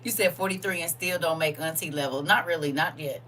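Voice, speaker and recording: A woman's voice: deep voice, fairly quick, fairly bright tone, a little hiss or hum. A woman in her forties hosting a livestream, chatting casually with viewers, reading their comments aloud and teasing them playfully with frequent laughter. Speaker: livestream host.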